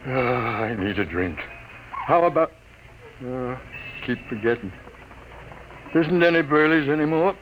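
A man playing an excitable, gruff veteran newspaper editor in a vintage radio drama, barking and wisecracking. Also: mid-range voice, a little slow, long pauses, dull audio, lightly acted. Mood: deflated, wistful. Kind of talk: 1940s-50s radio drama acting. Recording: noisy old radio broadcast recording.

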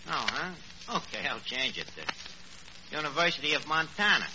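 Man playing bluffing New dimwit, high-ish swooping pitch, halting, word-mangling, 1940s radio comedy, muffled recording. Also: expressive, close to an everyday voice. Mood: muddled, bluffing. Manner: halting, muddled, mangling words. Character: dim, bluffing, uneducated working-class city man. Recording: muffled, noisy old radio broadcast.

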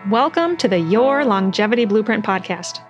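A woman's voice: medium pitch, expressive, moderate pace, lo-fi sound, faint music behind. A woman hosting a podcast, sounding a bit congested from a cold, reading an upbeat scripted intro before chatting with her guest. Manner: upbeat, promotional. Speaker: podcast host. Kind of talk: scripted intro, then casual interview.

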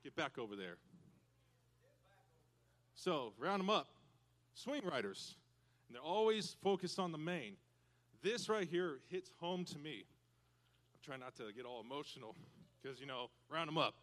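A high-pitched man preaching to a church congregation, full of energy. He teaches with props and bursts into loud cowboy hollers now and then. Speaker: church speaker addressing youth and parents. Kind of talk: lively hands-on sermon with props.

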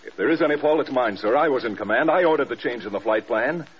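An actor playing an exhausted, fiercely loyal American bomber group commander in a radio drama, blunt and defensive. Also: male voice, medium pitch, lively intonation, fast talker, close to an everyday voice. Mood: protective, insistent. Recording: dull, noisy old radio recording.

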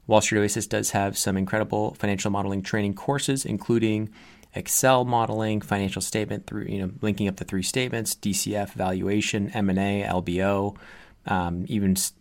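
A man's voice: fairly low pitch, little pitch movement, fairly quick, dry recording. A man hosting a podcast, reading an upbeat intro and asking short questions. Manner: upbeat, promotional in intro, brief prompts. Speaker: podcast host. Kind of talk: interview hosting and scripted intro.

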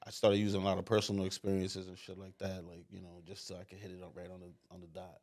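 Low-voiced dude chilling on a podcast, laid back, lots of slang. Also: male voice, monotone, fast, darkish tone. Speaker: comedian guest. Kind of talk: casual interview chat.

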